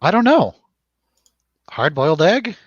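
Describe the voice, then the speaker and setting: A man's voice: a little high, big pitch swings, measured pace, slightly rough, no echo, muffled recording. A man hosting a casual film review chat, rambling and jokey, putting questions to his co-host. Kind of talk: casual chatty film discussion over drinks.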